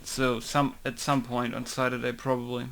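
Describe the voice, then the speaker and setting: A man's voice: medium pitch, level tone, moderate pace, gravelly, fairly bright tone, a little hiss or hum. A young man, casually rambling and muttering to himself while working on a game mod tutorial. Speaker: game modder.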